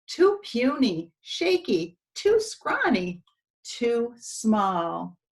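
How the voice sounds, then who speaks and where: A woman's voice: on the high side, big pitch swings, very slow. A woman preschool teacher reading a storybook aloud expressively to young children, with little asides to her listeners.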